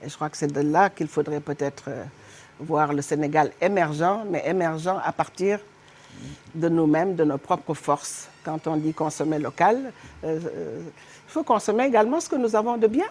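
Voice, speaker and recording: A middle-aged woman from Senegal speaks as a political guest on a TV studio set, her voice notably low for a woman. She talks in an animated, emphatic way, often stopping to hesitate before pressing her point. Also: normal speed. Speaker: guest politician, party honorary president. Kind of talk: political TV interview.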